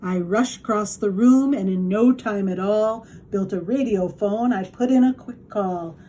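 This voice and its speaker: A woman reading a rhyming children's storybook aloud with expressive narration. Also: medium pitch, measured pace. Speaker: storybook reader.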